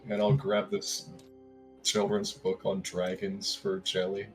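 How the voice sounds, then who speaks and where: A man's voice: low-pitched, monotone, slow pace, soft background music. A man taking part in a tabletop roleplay game, speaking in character with the group.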